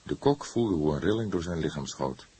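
A male narrator reads a crime story in a deep, husky voice. It is scripted storytelling, delivered as an audiobook. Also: lively intonation, moderate pace, warm tone.

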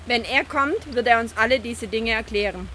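A woman's voice: fairly high pitch, moderate pace, sharp tone, a little hiss or hum. An actress playing a frank, questioning Samaritan village woman in a Bible audio drama, speaking expressively. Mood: respectful, probing.

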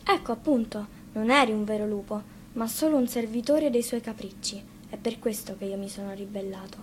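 A woman plays a proud rebel wolf from a storybook, the leader of his pack. The voice is calm and measured, with steady authority, recorded over clear background noise. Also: medium pitch, lightly acted. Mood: firm.

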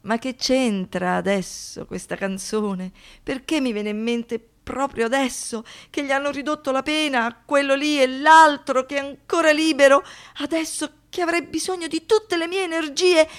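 A woman acting an anguished, defiant survivor of violence in a theatrical radio monologue, with an intense delivery that moves between hushed and explosive. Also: sits high, normal speed. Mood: exasperated, frantic. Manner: intense, swinging from hushed to outbursts.

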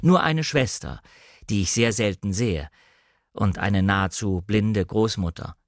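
Man reading a brisk, decisive gentleman, dry sound. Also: fairly low pitch, animated, dry recording. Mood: matter-of-fact. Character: brisk, decisive English gentleman, master of an estate. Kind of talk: audiobook character dialogue.

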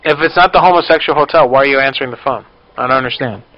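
Male prank caller, deadpan and persistent, casual delivery, dull low-fidelity phone sound. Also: rapid-fire. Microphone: telephone line. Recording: dull lo-fi phone call recording.

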